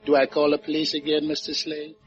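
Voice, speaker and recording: An actor playing an obliging calypso singer and hotel hand in a 1950s radio drama. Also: male voice, a little high, completely flat delivery, fairly quick, close to an everyday voice. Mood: deferential. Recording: muffled old radio recording, loud guitar music.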